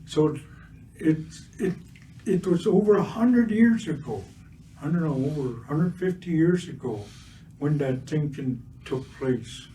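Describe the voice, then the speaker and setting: Slow-spoken older Blackfoot-speaking man. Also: on the high side, animated. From Siksika Nation, Alberta, Canada. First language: Blackfoot (Siksika). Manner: slow, earnest, many pauses and "uh" fillers, gentle humor. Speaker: guest speaker, residential school survivor. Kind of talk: public talk at a livestreamed community event. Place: cultural centre event space. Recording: distant mic with faint ambient noise.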